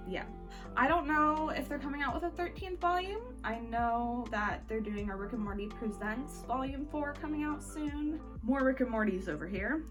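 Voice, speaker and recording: A woman talking casually and conversationally as a book vlogger, giving informal reviews with wry asides. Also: fairly high pitch.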